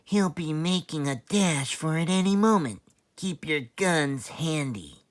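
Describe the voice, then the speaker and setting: A man voicing a gruff, menacing farmer in a children's storybook, speaking with emphasis. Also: on the high side, lively intonation, unhurried, no echo, studio quality, voice pushed up. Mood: grimly eager. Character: gruff male farmers lying in wait with guns. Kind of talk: children's storybook read-aloud.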